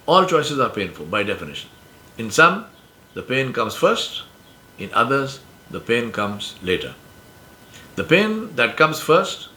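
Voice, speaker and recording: Measured older Indian man, bright tone. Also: medium pitch, slight background noise. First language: Urdu. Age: 60s. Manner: measured, emphatic, uses metaphors. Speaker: speaker sharing life lessons. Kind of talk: reflective monologue.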